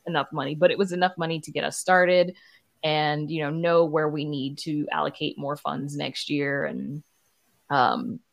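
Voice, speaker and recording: A woman's voice: deep voice, moderate pace, gravelly. A woman, a podcast creator, telling her story casually as an interview guest.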